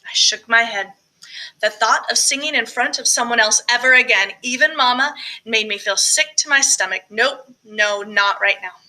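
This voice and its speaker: Very bright, high-voiced woman narrating. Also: normal speed, poor audio. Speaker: audiobook reader, choir director. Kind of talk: expressive first-person story narration.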